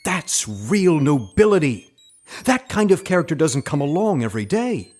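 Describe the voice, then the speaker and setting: A man's voice: a little high, very animated, normal speed, darkish tone. A man playing a self-important actor turned undercover spy in a comedy audio drama, speaking in a grandiose, earnest way. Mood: earnest, lofty.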